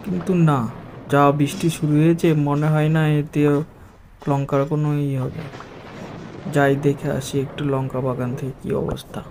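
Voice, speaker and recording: A man's voice: a little high, little pitch movement, moderate pace, dark tone, a little hiss or hum, natural voice. A man playing a poor, plaintive village chilli farmer who exclaims his way through a cartoon story. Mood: worried. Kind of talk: dramatised cartoon story dialogue. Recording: music behind the voice.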